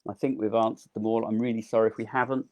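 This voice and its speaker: A man's voice: lowish voice, flat delivery, fast talker. A man giving a casual, enthusiastic live online gardening talk, full of ums, as his voice wears thin. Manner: chatty, enthusiastic, with frequent ums. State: losing his voice. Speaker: delphinium grower giving a talk.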